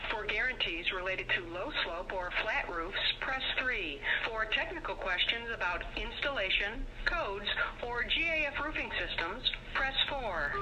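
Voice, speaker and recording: A woman announces scripted phone menu options in a measured, formal way, heard over a telephone. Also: medium pitch. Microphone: recorded through a telephone call. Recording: noisy recording of phone line.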